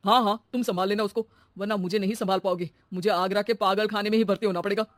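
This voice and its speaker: Guy doing a fed up cartoon husband, high for a man, whiny complaining, close dry sound. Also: fairly even intonation, fast, a bit bright, no room sound. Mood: fed up, dramatic. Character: exasperated middle-class husband, employer of a maid. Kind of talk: comic Hindi cartoon acting.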